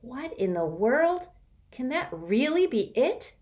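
Anxious bookish boy, high-pitched and fretful, read by a woman. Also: very animated, slow pace, a little mellow, full character voice. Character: nervous, earnest, bookish young boy. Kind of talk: audiobook character voice.

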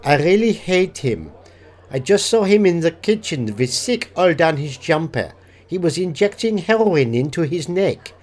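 A man's voice: on the high side, expressive, a little slow, darkish tone. A man playing a blunt, scornful German language student with a put-on German accent in a comedy sketch.

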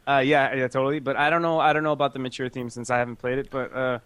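A man's voice: mid-range voice, little pitch movement, quick pace, fairly bright tone, clean tone, no echo. A young man from Canada cohosts a gaming podcast, joking and cursing his way through loose banter. His voice sits in a middle register, recorded close and dry with no room sound. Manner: joking, profane, laughs often. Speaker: podcast host. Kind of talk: casual gaming podcast chat.

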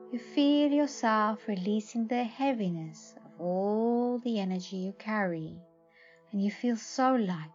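Female meditation guide, soothing and very slow, dull lo-fi recording over faint music. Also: mid-range voice, darkish tone. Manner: soothing, measured, with long pauses.

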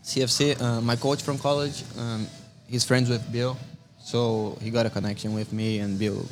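Brazilian man in his twenties, guest on a podcast, friendly and halting, with faint music behind him. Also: mid-range voice, little pitch movement. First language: Portuguese. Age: 20s. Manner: friendly, non-native phrasing, halting. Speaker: guest soccer player. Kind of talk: casual interview. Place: brewery.

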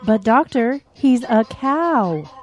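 A woman's voice: a little high, sing-song, slow pace, faint music behind. A woman voicing a fretful, caring mother cow in an audio drama, motherly and exclaiming. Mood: concerned.

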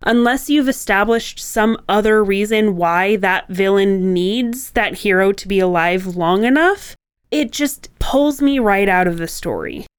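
A woman's voice: mid-range voice, unhurried, clean tone, no room sound. A woman co-hosting a writing podcast, explaining points in a conversational way.

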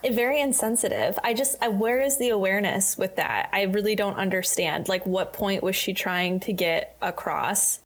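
A woman's voice: mid-range voice, moderate pace, few pauses. A young woman in her twenties, a podcast guest, chatting in an earnest, agreeable way. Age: 20s. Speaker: guest, host's assistant. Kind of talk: casual chat.

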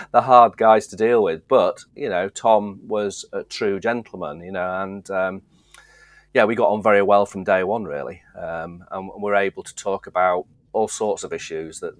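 Middle-aged guest, very low voice, dry close sound, loose rambling delivery. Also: male voice, dry recording. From Yorkshire, England. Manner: easygoing, rambling, frequent restarts. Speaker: guest, distillery co-founder. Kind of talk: casual interview chat.

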